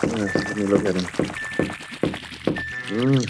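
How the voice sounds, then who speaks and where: An actor playing an obsessed, urgent professor of science in old radio drama, earnest, commanding and melodramatic. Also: male voice, fairly low pitch, takes it slowly. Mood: grave. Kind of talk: 1940s radio drama acting. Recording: old radio recording, music behind.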